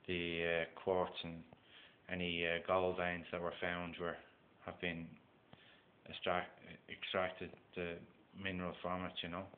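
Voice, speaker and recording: Flat, deep Dublin man on a tinny phone. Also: a little hiss or hum. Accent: Dublin Irish. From Dublin, Ireland. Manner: halting, many fillers and restarts. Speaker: gold prospecting vlogger. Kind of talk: casual instructional talk to camera. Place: indoors at a table. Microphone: phone camera.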